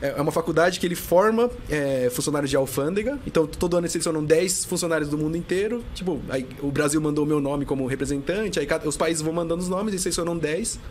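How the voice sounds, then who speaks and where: A man in his thirties speaks as a podcast guest in a rapid, animated stream, full of restarts. His voice sits a bit high for a man, and his words tumble out quickly. A faint noise floor runs under the recording. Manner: fast, animated talk full of restarts and fillers. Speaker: guest, customs tax auditor. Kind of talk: casual podcast interview.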